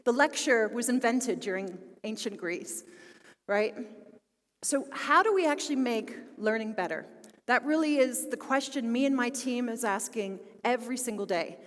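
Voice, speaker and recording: A woman's voice: a little high, moderate pace. A woman in her late forties from Philadelphia, with a Philadelphia accent, giving an enthusiastic conference talk full of fillers. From Philadelphia, United States. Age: 40s. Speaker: conference speaker. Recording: long hall reverb.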